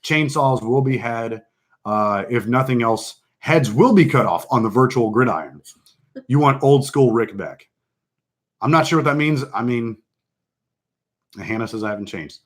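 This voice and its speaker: A man with a deep voice, casually hosting a fantasy football draft stream, joking and rambling with lots of fillers. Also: animated, a little slow, pauses often. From Texas. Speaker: fantasy football league host. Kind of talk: casual live stream chat.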